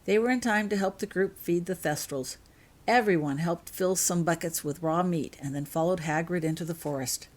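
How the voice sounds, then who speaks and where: A woman's voice: on the low side, normal speed. A woman reading her own fan fiction aloud as scripted storybook narration.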